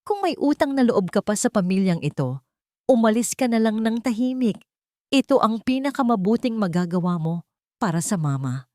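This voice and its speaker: A woman's voice: on the high side, moderate pace, dry recording, clean studio sound, pitched above the performer's own voice, natural voice. A woman voicing a grief-stricken, bitter rich young man in a story, speaking coldly and curtly as an employer. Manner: cold, flat, curt.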